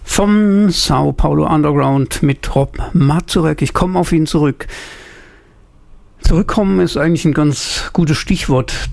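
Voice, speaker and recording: A man's voice: on the high side, creaky, a little mellow. A man presenting jazz on the radio, chatting casually and thinking aloud with the odd filler.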